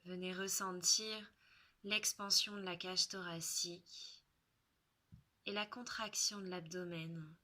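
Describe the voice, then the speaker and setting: Slow, bright-voiced woman guiding relaxation. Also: fairly low pitch, steady tone. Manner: soothing, unhurried, with long pauses. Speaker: yoga relaxation guide. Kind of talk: guided relaxation meditation.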